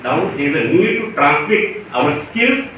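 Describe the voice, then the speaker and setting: A high-pitched male lecturer is heard in a very echoey room. He has a Marathi Indian accent and addresses teachers emphatically, repeating phrases and posing rhetorical questions. The microphone sounds a little distant. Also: animated, measured pace, sharp tone. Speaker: professor, lecturer. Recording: very echoey room, distant mic, faint noise.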